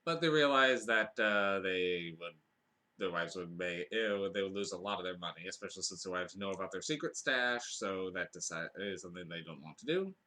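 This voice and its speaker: A man with a fairly low voice that still sounds bright and forceful. His pitch swings a lot as he talks, and he rambles through a casual film review. He restarts his sentences often and drops in dry, wry asides. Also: expressive, brisk. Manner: rambling, with frequent fillers and restarts. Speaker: podcast host reviewing a film.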